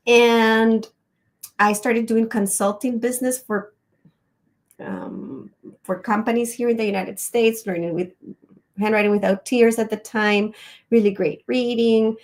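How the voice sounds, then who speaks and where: A middle-aged woman from Rica, hosting a live educational webinar. She talks in a friendly, personal way, telling stories with frequent ums. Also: mid-range voice. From Costa Rica.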